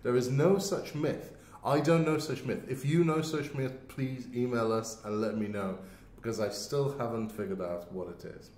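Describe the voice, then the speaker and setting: A man's voice: medium pitch, moderate pace, few pauses, darkish tone. A man co-hosting a podcast, chatting casually about audio plays. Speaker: podcast co-host. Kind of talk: casual discussion of radio plays. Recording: echoey room.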